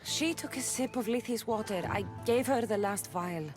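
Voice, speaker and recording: An actress playing a blunt, questioning young warrior heroine in a video game, with a direct, wry way of talking. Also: female voice, fairly high pitch, normal speed, close to an everyday voice. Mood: guarded, concerned. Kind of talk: scripted video game dialogue. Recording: music behind the voice.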